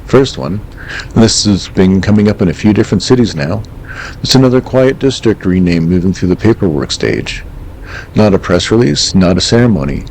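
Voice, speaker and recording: A man playing a calm, wry host of an eerie late-night radio call-in show, speaking in a measured, reflective, understated way. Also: deep voice, gravelly, slight background noise, poor audio. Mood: hushed, ominous.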